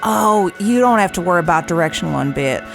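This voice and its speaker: An actress playing a tough, folksy older park warden who talks in a salty, drawling way. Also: female voice, fairly low pitch, animated, brisk, vocal fry, music in the background. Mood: wry. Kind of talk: audio drama acting.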